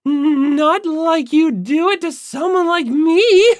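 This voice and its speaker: Man putting on a touchy, shrill hostile teenage girl. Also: slow pace, no room sound, studio quality. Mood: bitter. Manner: grumbling, explosive.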